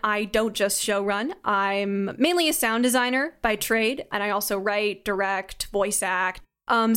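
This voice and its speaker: A woman's voice: medium pitch, a bit bright, clean tone. A young adult woman chatting casually and enthusiastically as an interview guest. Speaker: interview guest, audio drama creator. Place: remote Zoom call.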